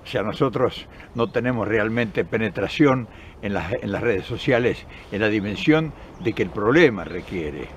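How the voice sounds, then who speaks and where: Older Argentine man, earnest lively outdoor commentary. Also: fairly low pitch, normal speed, a little hiss or hum. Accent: Argentine Spanish. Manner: earnest, emphatic, many restarts and repeated words, gesturing with hands. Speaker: political commentator. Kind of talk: impassioned direct-to-camera commentary. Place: outdoors in countryside by forest path. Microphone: camera at close range.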